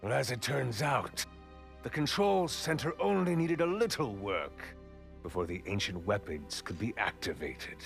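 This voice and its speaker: A man playing a sly, gloating goblin villain in a video game cutscene, with a theatrical, mocking, drawn-out delivery. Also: medium pitch, big pitch swings, vocal fry, exaggerated voice. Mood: smug, menacing. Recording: loud music under the voice.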